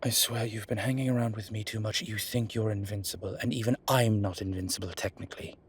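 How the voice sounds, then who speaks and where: A man's voice: lowish voice, fairly even intonation, fast, slight background noise, close to an everyday voice. A man playing an exasperated, protective male vampire in an ASMR roleplay drama, scolding and sardonic. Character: exasperated, protective male vampire, dry-witted and world-weary. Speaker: voice actor.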